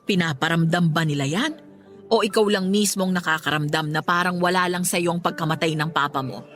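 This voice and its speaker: A woman playing a concerned middle-aged mother who gently scolds her grown child in a Tagalog radio drama. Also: fairly low pitch, brisk, faint music behind, lightly acted. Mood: firm, reproachful.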